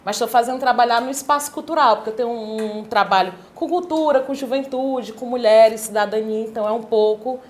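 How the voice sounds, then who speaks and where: Cearense woman guest, reflective and conversational, voice a bit on the high side. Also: fairly quick, hardly any pauses. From Sobral, Ceará, Brazil. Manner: reflective, many tag questions. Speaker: guest, cultural worker. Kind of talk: conversational interview answers.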